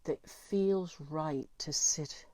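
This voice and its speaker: A woman leading a guided meditation in a soothing, deliberate way, pausing between short phrases. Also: low voice, warm tone, no room sound. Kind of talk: guided meditation, gentle spoken visualisation.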